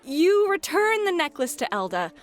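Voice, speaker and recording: A woman playing a playful, flirty club hostess and game master in an ASMR roleplay, bubbly, teasing and expressive. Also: very high voice, normal speed. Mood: playful, excited. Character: playful, flirty club hostess running tabletop adventure games. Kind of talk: scripted ASMR roleplay, acted. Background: background music.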